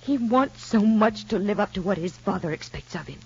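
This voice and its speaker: Troubled wife and mother, earnest formal diction, husky voice, 1950s soap drama, muffled old recording. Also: mid-range voice, lively intonation, brisk, a little mellow. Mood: anxious, troubled. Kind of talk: 1950s soap opera radio acting. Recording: muffled vintage broadcast recording.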